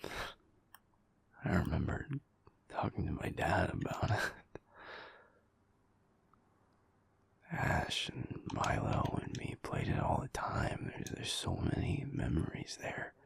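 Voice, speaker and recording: Man playing a gravelly, whispering, wistful alpha werewolf. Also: huge pitch swings, full character voice. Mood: nostalgic, wistful. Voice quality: low rumbly, gravelly, breathy half-whisper. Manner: halting, hesitant, trailing off. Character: sad, wistful young alpha werewolf man, rumbly and tender. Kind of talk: intimate, rambling audio roleplay monologue.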